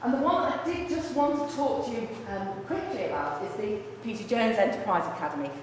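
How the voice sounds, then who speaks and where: A woman, a college vice principal giving a brisk, businesslike presentation to a room without a microphone. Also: fairly high pitch, sing-song. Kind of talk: presentation to a luncheon audience. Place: large echoey function room. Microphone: far room microphone. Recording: echoey hall from far off, faint noise.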